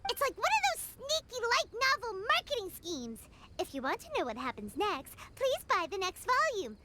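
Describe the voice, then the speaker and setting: A woman voicing a tiny, childlike floating fairy companion in a video game, squeaky, excitable and cheeky. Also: moderate pace, very noisy. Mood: grumbling. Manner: speaks of herself in third person.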